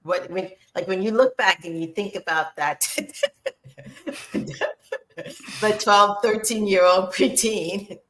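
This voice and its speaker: A woman's voice: medium pitch, takes it slowly, long pauses, fairly bright tone. A woman hosting a casual podcast, asking her guests questions and affirming what they say. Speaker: podcast host.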